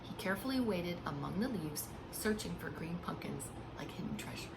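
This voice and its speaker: A woman reading a picture book aloud like a preschool teacher, with expressive, hushed emphasis and simple asides to small children. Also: fairly low pitch, brisk, vocal fry, background noise. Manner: simple explanations and questions addressed to small children. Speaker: pre-K teacher.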